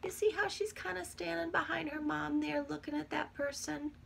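A woman's voice: high-pitched, normal speed, creaky, slight background noise. A middle-aged woman teacher reading a picture book aloud to young children, expressively, with chatty asides. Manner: expressive, acts out feelings with emphasis and whispers. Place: small cluttered room at home, indoors.

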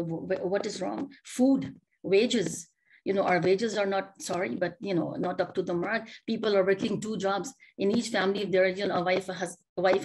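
A middle-aged woman speaks as a panelist over a video call from a home office. She talks earnestly, piles up rhetorical questions and often starts her sentences over. Her voice sits at an everyday middle pitch. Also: a little mellow, rough recording. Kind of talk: panel discussion remarks.

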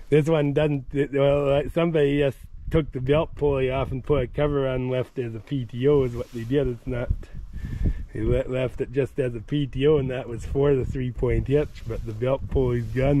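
A man's voice: mid-range voice, mellow, a little hiss or hum, low-quality recording. A man giving casual walkaround commentary outdoors at a farm auction, plain-spoken, thinking aloud and often restarting his sentences. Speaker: tractor vlogger touring an auction. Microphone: camera carried at hand, wide angle.

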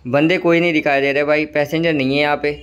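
Gaming host, male, somewhat high voice, a little hoarse, casual buddy-style play-by-play. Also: flat delivery, fast talker, a bit bright, lo-fi sound. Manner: chatty, keeps calling viewers "brother". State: slightly hoarse throat. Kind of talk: casual gameplay commentary.

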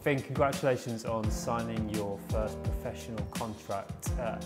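Lowish-voiced male club interviewer. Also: steady tone, normal speed. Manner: brief, friendly prompting questions. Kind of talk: interview questions. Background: background music.

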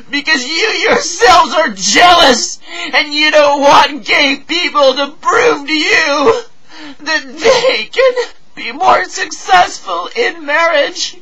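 A man performing a theatrical monologue as an anguished, outraged man who pleads through tears, wailing and shouting. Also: very high-pitched, pitched above the performer's own voice, full character voice, poor audio. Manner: wailing, shouting, drawn-out words. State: crying.

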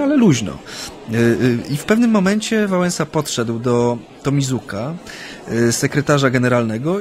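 A halting male former activist, reminiscing. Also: medium pitch. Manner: hesitant, halting, frequent drawn-out hums. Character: male former Solidarity activist recounting his memories. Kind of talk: radio play acting, interview-like recollection.

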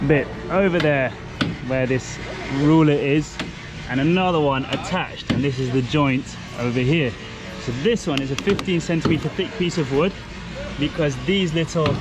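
A young man casually narrating his boat work to a camera held at arm's length, in a relaxed, explanatory way. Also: a little high. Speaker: sailing vlogger. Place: outdoors in a rainy boatyard. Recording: loud outdoor noise.

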